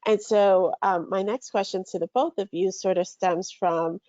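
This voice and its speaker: A woman in her forties moderating a youth panel in a gentle, measured, supportive way, on a video call. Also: medium pitch, fairly even intonation, no room sound. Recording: muffled lo-fi online audio.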